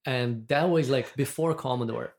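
Brazilian-accented man, podcast guest, chatty and rambling, mid-pitched everyday voice. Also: moderate pace, a crowd in the background. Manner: chatty, rambling, with frequent restarts and laughs. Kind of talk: casual chat.

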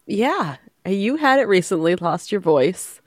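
A woman's voice: medium pitch, big pitch swings, measured pace, no room sound, clean studio sound. A woman co-hosting a podcast in casual chat, sounding nasal from a cold and telling stories with frequent restarts. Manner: storytelling, restarts often. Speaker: podcast co-host, author.

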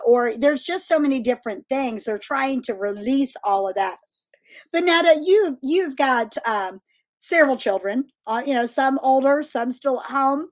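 A woman hosting a faith-based discussion, asking her guests questions and encouraging them. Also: a little high. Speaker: host.

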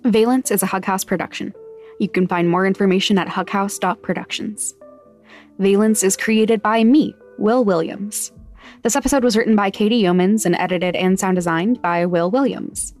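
A woman's voice: mid-range voice, normal speed, darkish tone. Woman reading podcast announcements, music behind. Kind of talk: scripted podcast credits and promo narration.

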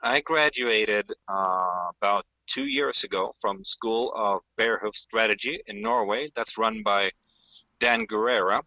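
A man introduces himself slowly and hesitantly. His voice is very bright and sharp. The sound is dry, close and slow-paced. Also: on the low side, dry recording, rough recording. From Sweden. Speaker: part-time barefoot trimmer.

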